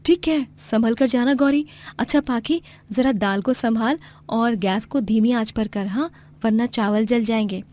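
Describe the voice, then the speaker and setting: A woman's voice: on the high side, a little slow, darkish tone, natural voice. A woman playing brave, devoted young daughters in a Hindi moral story. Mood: caring, practical.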